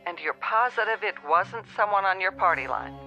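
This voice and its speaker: A woman's voice: medium pitch, animated, moderate pace, bright, forceful tone, faint music behind. A woman playing a patient but unhelpful telephone operator in a radio drama, businesslike and placating, heard through a phone filter. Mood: sceptical. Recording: thin, band-limited telephone-line sound.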